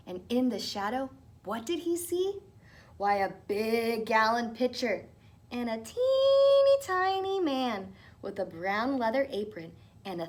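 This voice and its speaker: Langzaam voorlezende vrouw. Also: female voice, a little high. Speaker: teacher reading aloud. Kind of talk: children's storybook narration.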